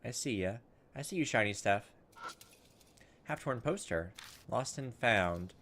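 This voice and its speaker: A man's voice: mid-range voice, expressive, measured pace, a bit bright. Casual man narrating a game, noisy background. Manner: casual, thinking aloud, slipping between reading and remarks. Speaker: video game playthrough host. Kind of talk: gameplay commentary, reading visual novel dialogue aloud.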